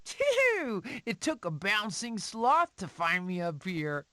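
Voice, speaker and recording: A woman voicing a boastful, lively young animal child in an animated prehistoric video game. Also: mid-range voice, slow, noisy background. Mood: impressed.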